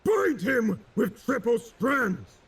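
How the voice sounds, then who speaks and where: A man's voice: extremely high pitch, sing-song, slow, fairly bright tone, slightly rough, pitched above the performer's own voice, full character voice. A man voicing a proud, wrathful male admiral in a dramatic verse story, roaring and imperious. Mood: enraged. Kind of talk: dramatic poetry recital.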